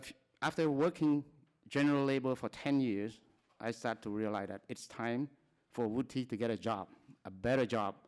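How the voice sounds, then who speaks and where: Smooth-voiced man from Cambodia, halting. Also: medium pitch, darkish tone. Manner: plain, halting talk with frequent restarts and short laughs. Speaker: conference speaker. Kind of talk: personal testimony at a conference.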